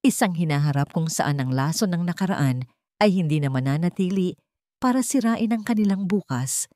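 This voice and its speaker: A woman reading a scripted dramatic story as narrator, with measured, deliberate phrasing. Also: lowish voice, lively intonation, dark tone, dry recording.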